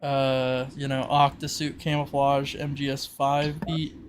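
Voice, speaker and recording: A man's voice: medium pitch, little pitch movement, unhurried. A man acting as game master, casually talking through rules and rolls over some background noise. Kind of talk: casual tabletop game narration and rulings.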